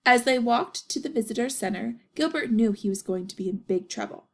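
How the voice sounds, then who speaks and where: Female children's storyteller, slightly high pitch with noticeable fry, narration with friendly asides to listeners. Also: animated, fairly quick. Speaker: storyteller reading a children's picture book aloud. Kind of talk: animated read-aloud narration with asides.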